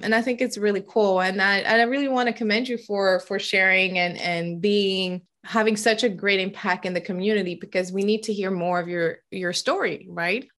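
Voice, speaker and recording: A woman's voice: mid-range voice, fairly even intonation, moderate pace, few pauses, fairly bright tone. A woman whose first language is French, hosting a casual interview in a chatty, enthusiastic way. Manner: chatty, enthusiastic, many fillers. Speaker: podcast host.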